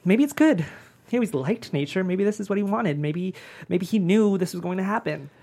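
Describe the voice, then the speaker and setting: Woman playing a husky, brash, flamboyant young man. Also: mid-range voice, lively intonation, brisk, mellow. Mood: wistful. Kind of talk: dramatic table read.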